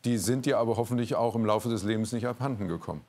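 A man's voice: medium pitch, quick pace, darkish tone. A man hosting a quiz show in a TV studio, presenting live to an audience and guests. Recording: studio with music and audience behind.

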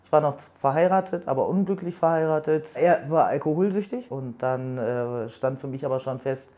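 A woman's voice: very deep voice, normal speed, warm tone. A woman in her early fifties talking candidly about her relationship in an interview. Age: 50s. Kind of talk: personal interview.

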